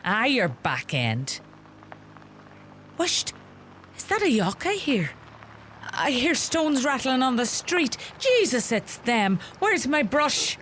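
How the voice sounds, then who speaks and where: An actress playing a bossy, sharp-tongued rural mother with a Donegal Irish accent in a radio play. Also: sits high, takes it slowly, long pauses, a little hiss or hum, full character voice. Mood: flustered. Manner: scolding, animated, gossipy.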